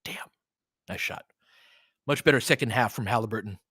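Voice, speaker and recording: A man giving informal, partisan live basketball commentary as host, excitable, with whoops and casual swearing. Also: lowish voice, normal speed, husky, no echo, studio quality.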